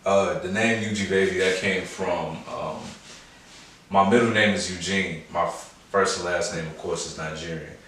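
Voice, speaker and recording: A man's voice: low voice, fairly even intonation, moderate pace, a bit bright. An American man in his twenties from Chicago, chatting casually as an interview guest with slangy, laid-back, joking talk. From Chicago, United States. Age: 20s. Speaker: guest, rapper and producer. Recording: very echoey room, distant mic, clear noise.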